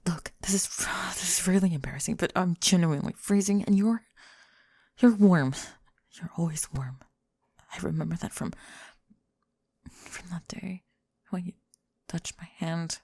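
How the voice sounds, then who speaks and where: A young woman playing a guarded, flustered college student in an intimate ASMR roleplay, speaking softly and haltingly, often slipping into whispers. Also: lowish voice, creaky, slightly rough, no echo. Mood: vulnerable, hesitant. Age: young adult. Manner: halting, sighing, self-conscious. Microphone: close microphone, dry.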